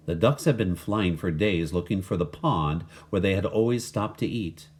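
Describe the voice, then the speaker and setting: A man reading a children's story aloud in expressive scripted narration. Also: low voice, moderate pace. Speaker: storybook reader.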